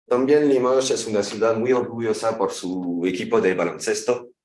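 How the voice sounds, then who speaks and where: A man in his thirties from France, speaking with a French accent as a guest lecturer, pausing and correcting himself often as he gives an academic talk in a language that is not his first. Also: fairly low pitch, fairly even intonation, darkish tone, echoey room, recorded from a distance, low-quality recording. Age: 30s. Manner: halting, frequent self-corrections.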